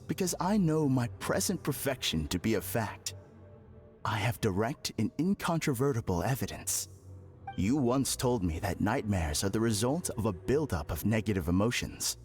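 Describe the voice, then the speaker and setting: A man's voice: mid-range voice, close to an everyday voice. Haughty, dramatically swooping man, acted. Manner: haughty, condescending, theatrical. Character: smug, deluded male patient insisting he is perfect. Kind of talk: video game voice acting.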